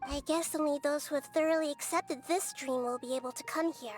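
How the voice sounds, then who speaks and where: A woman voicing a calm little girl god. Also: sits high, a little fast, lightly acted. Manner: calm, patient, reasoning step by step. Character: small wise girl god of dreams, calm and knowing. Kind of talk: video game dialogue. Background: faint game music.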